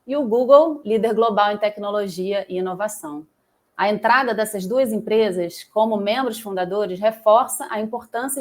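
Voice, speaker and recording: A woman with a Brazilian Portuguese accent moderating a panel and introducing speakers in a friendly, informal way. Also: medium pitch.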